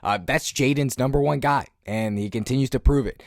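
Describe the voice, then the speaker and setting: A young man with a hoarse voice from screaming at a game, giving a casual post-game breakdown as a fan channel host, clearing his throat often and filling pauses with "um" and "uh.". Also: medium pitch, no echo, clean studio sound. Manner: frequent throat clearing, filler-heavy. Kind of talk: post-game analysis monologue.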